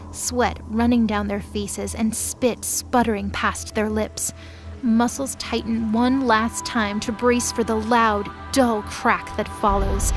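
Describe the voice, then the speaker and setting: Female narrator, fairly high voice, dramatic scripted storytelling over clear background music.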